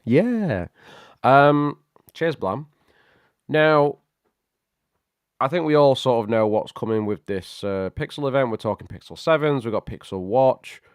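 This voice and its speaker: A man hosting a casual tech livestream, chatty and greeting viewers by name, his voice a little croaky from a cold. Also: on the low side, no echo, studio quality. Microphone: studio microphone.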